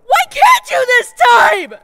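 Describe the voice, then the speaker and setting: A woman's voice: extremely high pitch, huge pitch swings, slow pace, pushed and bright, heavily acted. A woman voicing an emotional young queen who pleads and hopes, in a fan audio drama. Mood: pleading.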